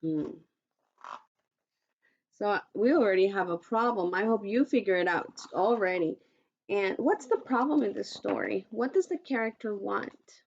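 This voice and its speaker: A woman teacher reading aloud to children in an instructive way, pausing to pose questions, on a dull recording. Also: medium pitch, animated, creaky, a little hiss or hum. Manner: instructive, poses questions to listeners.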